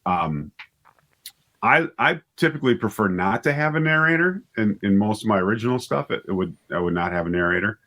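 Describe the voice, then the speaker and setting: A man being interviewed as a writer and director, explaining things casually and haltingly, often rephrasing himself as he goes. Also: fairly low pitch, expressive, pushed and bright. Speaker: interview guest, writer and director of audio dramas.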